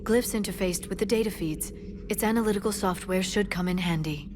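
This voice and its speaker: A woman playing a composed, earnest alien scholar and information broker in a video game, speaking in a measured, articulate way. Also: mid-range voice, over music, natural voice. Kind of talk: video game character dialogue. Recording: clear ambient noise behind game dialogue.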